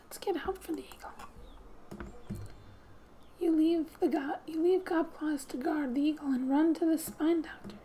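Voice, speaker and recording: A woman speaking softly and breathily, often near a whisper, as she gently reads game text aloud for an ASMR gaming video. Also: high voice, steady tone, moderate pace, pauses often, a little hiss or hum.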